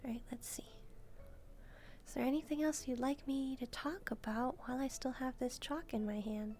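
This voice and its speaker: A woman voicing a gentle, shy demon maid in a soft-spoken roleplay, speaking hesitantly as she muses aloud. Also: high-pitched, fairly even intonation, moderate pace, soft background music, natural voice. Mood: gentle, chatty. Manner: hesitant, timid, musing aloud. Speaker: roleplay video creator. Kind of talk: soft-spoken first-person roleplay, relaxing.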